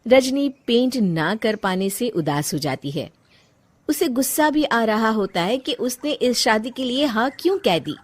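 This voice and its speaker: A woman narrating a scripted story for an animated moral tale. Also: on the high side, unhurried.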